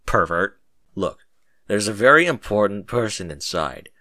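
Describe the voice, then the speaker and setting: Older male dean, played by a male narrator, stern and scornful, curt scolding delivery, low pitch, dry sound. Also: very animated, unhurried, sharp tone, no room sound, heavily acted.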